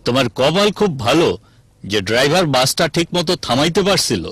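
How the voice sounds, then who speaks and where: Cartoon village man, Bangladeshi accent: husky, highish, animated grumbler, music behind. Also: fairly quick, no room sound. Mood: disapproving.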